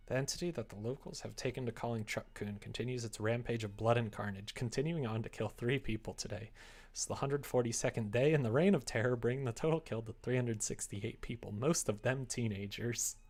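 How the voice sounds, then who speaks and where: A man playing a formal, deadpan news reporter in a parody bulletin of absurd carnage. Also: mid-range voice, a little fast, lightly acted.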